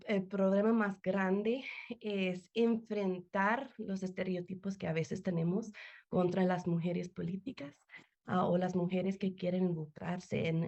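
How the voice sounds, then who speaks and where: A woman from the United States whose first language is English answers interview questions from home. She talks with a warm, mellow tone, stopping to search for words. The call audio sounds dull and lo-fi. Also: lowish voice. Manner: careful, searching for words, frequent fillers. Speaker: interview guest, nonprofit director. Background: children playing.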